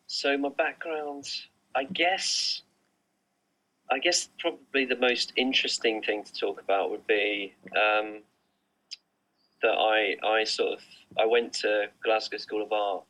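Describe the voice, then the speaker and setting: A man's voice: fairly low pitch, fairly even intonation, takes it slowly, pushed and bright, poor audio. A middle-aged man, an artist, answering interview questions online in a casual, hesitant way with many fillers and restarts. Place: remote online recording.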